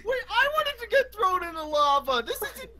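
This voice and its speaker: A woman voicing a naive, excitable little boy in an improvised comedic cartoon fandub, plaintive and bewildered. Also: very high-pitched, normal speed, shouting, full character voice. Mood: whiny.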